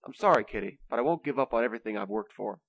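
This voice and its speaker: A man plays a kindly Saint Nicholas, a patient dreamer in an amateur radio comedy. He reasons earnestly in a mid-pitched, very animated voice, recorded dry. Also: racing, dry recording, narrow, tinny audio. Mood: patient, pleading.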